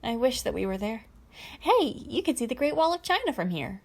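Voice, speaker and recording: A woman's voice: fairly high pitch, very animated, fairly quick, creaky, pitched above the performer's own voice, heavily acted. A woman voicing a good-natured young man who talks informally and with emphasis in a story reading. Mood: homesick.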